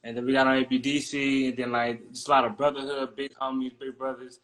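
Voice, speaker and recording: A man's voice: medium pitch, little pitch movement, brisk, fairly bright tone, rough recording. Laid-back man telling a story. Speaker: guest. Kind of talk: casual interview chat.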